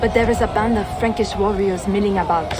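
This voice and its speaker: A woman's voice: mid-range voice, fairly quick, very noisy, natural voice. A woman playing a pragmatic, wary smuggler and trader in a game cutscene, guarded and matter-of-fact. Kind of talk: dramatic game cutscene acting.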